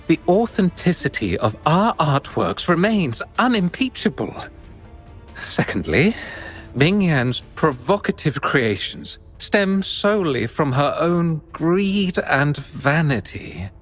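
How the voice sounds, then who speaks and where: Brief: a pompous, indignant game art dealer, slow and very animated delivery, soft music under it. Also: male voice, a little high. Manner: indignant, formal, declamatory. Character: pompous male art exchange head defending his business. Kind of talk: video game voice acting. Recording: game audio with faint background music. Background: faint music.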